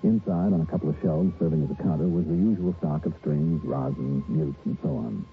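A cool American investigator speaks low and fast in a dry, clipped, hard-boiled way. The sound is that of old radio detective drama, with a narrow, muffled band. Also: male voice, faint music behind. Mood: cool, reporting. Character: cool, matter-of-fact male insurance investigator narrating his case.

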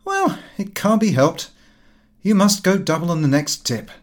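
A man voicing a brash, excitable young son, flippant and slangy, in an audiobook. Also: high-pitched, measured pace, voice pushed up, heavily acted. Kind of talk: audiobook character voice.

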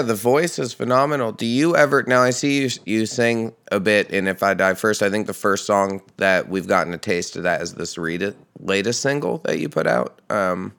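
A man's voice: fairly low pitch, gravelly, a bit bright, clear, resonant tone. A young man from upstate New York hosting a podcast, chatting casually and swearing freely with enthusiasm. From Hudson Valley, upstate New York. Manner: profane, enthusiastic. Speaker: podcast host. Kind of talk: casual interview chat.

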